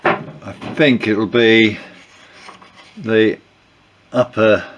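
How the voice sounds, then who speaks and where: An older man with a croaky voice from a cold, narrating his build in a home workshop with many pauses and fillers. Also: on the low side, highs cut off, background noise. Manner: relaxed, hesitant with many fillers. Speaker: aircraft builder.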